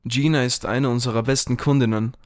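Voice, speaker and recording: A man playing a smooth-talking grill owner who is secretly a henchman, in a parody audio drama. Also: medium pitch, fairly even intonation, brisk, lightly acted. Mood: reassuring.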